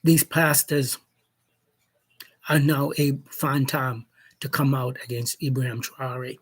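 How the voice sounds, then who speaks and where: A man from Jamaica delivers a spoken opinion piece at a very unhurried pace. His voice is husky and a little rough. He builds his case deliberately and pushes pointed questions at the people he criticizes. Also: medium pitch, little pitch movement. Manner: slow, deliberate, challenging questions. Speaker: commentator. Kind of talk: opinion monologue.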